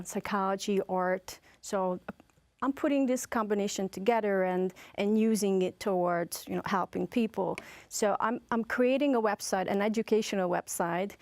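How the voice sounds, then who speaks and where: A woman's voice: medium pitch, darkish tone, no echo. A woman from Hungary with a Hungarian accent, speaking as a guest in a casual interview, hesitant with many fillers and restarts.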